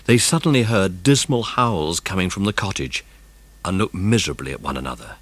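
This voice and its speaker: Deep-voiced British man narrating a story. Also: lively intonation, a little fast, a bit bright, no room sound. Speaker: audiobook narrator. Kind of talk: scripted story narration for children.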